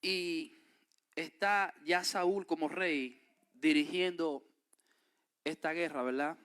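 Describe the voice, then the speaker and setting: A man's voice: on the high side, steady tone, slow pace, a bit bright, clear, resonant tone. A man with a Dominican accent preaching a sermon, reading scripture aloud and then explaining it with emphatic rises. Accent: Dominican Spanish. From Dominican Republic.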